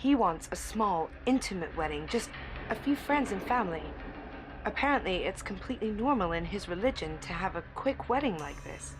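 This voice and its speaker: An actress playing a besotted young bride drawn into a cult, delivering an intense, breathy diary monologue in an audio drama. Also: female voice, mid-range voice, animated, moderate pace, music in the background, lightly acted. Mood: excited, dreamy.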